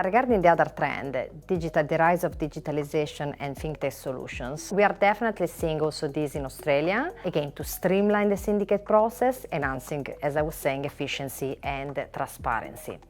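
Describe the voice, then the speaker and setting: A middle-aged woman from Italy with an Italian accent, a finance lawyer answering in a measured way, listing items with frequent pauses. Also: low-pitched. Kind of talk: panel interview answer.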